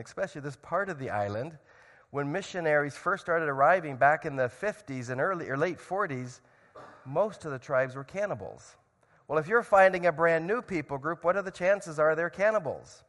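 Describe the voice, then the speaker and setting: A man speaking as a missionary at a church, telling his story earnestly and with growing excitement. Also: fairly high pitch, big-room sound. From grew up in Indonesia. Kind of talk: church missionary talk with prayer.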